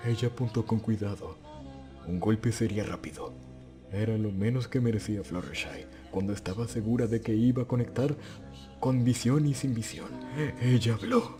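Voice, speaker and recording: A man narrates a dramatic story from a script. His voice sits on the low side for a male narrator. Music plays clearly behind him throughout. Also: normal speed, warm tone.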